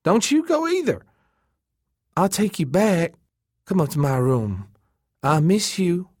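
A man's voice: high voice, very animated, slow, darkish tone, no echo, studio quality, pitched above the performer's own voice, exaggerated voice. A man voicing a faded, needy, tearful woman with a thin, plaintive voice in an audiobook story. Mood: wistful, coaxing.

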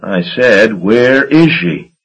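A man voicing a gruff, hot-tempered steamboat captain who blusters and curses. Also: on the low side, animated, normal speed. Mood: angry demanding. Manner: blustering, demanding, cursing. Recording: dull lo-fi recording.

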